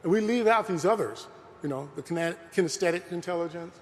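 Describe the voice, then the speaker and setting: A man's voice: high-pitched, a little fast, a little hiss or hum. Man lecturing in a reverberant hall. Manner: earnest, fills with "you know". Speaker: lecturer presenting a talk. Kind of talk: conversational public talk. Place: large museum hall.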